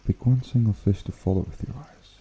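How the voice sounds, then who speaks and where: A man hosting an ASMR guided meditation in a hushed, unhurried, soothing way with gentle pauses. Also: fairly low pitch, breathy edge. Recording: water trickling behind the voice. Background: pond water bubbling and trickling.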